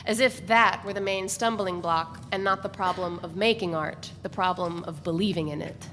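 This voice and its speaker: A woman's voice: on the low side, normal speed, a bit bright, faint music behind. A woman giving a dramatic reading of a novel as a first-person narrator. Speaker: reader of a novel. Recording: echoey hall, faint background noise.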